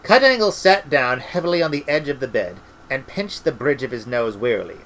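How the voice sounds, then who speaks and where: A man's voice: medium pitch, lively intonation, fairly quick, a bit bright, a little hiss or hum. A man reading a storybook aloud as narrator. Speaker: amateur audiobook reader, former radio presenter. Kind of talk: storybook narration with character voices.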